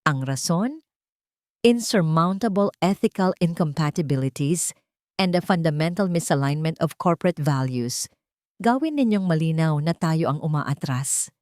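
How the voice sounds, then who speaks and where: A woman storyteller plays a steely female CEO in a romance drama. She is cold and firm, giving low-pitched orders with no emotion. The sound is dry and close. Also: a little mellow, no room sound.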